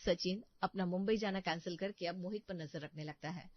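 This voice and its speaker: A woman's voice: lowish voice, a little fast, dry recording. Female story narrator, scripted read, close dry booth sound, slightly muffled.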